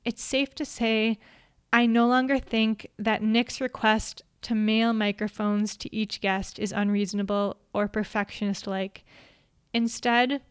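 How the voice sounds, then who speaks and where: Quiet, smooth-voiced woman reading a script. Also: medium pitch, little pitch movement, measured pace, dry recording, clean studio sound. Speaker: podcast host. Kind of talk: scripted solo talk. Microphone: studio microphone.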